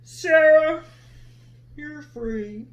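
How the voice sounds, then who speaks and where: A woman's voice: high-pitched, very slow, muffled recording, a little hiss or hum, voice pushed up. A woman voicing a grumpy, bossy, boastful big male lion from a storybook, in a theatrical, growling manner. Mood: quiet thoughtful.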